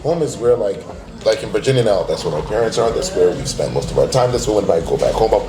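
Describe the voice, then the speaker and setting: A man's voice: lowish voice, fast talker, noisy background. A man from Nigeria with a Nigerian accent, telling a story in casual chat and acting out the quotes. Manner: animated storyteller, acts out quotes. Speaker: guest. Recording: roomy echo.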